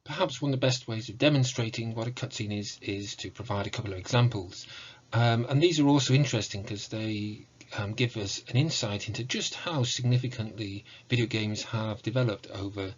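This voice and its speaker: A middle-aged man giving a prepared online lecture in a measured, formal, explanatory way. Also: on the low side, slightly rough. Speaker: university lecturer in music. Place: small indoor room with bookshelves. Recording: muffled, dull online recording.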